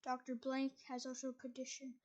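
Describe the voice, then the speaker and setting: A woman's voice: sits high, completely flat delivery, moderate pace, darkish tone. A woman reading a document aloud, stumbling over words and restarting lines as she goes. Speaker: reader.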